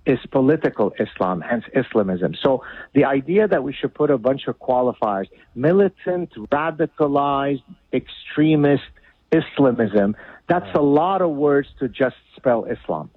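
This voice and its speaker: A man's voice: medium pitch, animated, measured pace, slightly rough, no room sound. A man from Lebanon whose first language is Arabic, a guest author speaking bluntly and argumentatively in a radio interview over the phone. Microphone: phone line. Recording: tinny phone line.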